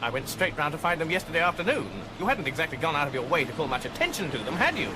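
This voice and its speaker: Outdoor noise sits behind an exasperated British man in a radio comedy. His voice is high and leaps about in pitch, sarcastic and indignant throughout. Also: very animated, rapid-fire. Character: exasperated, sarcastic ordinary Englishman defending his home. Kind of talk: comic radio drama acting.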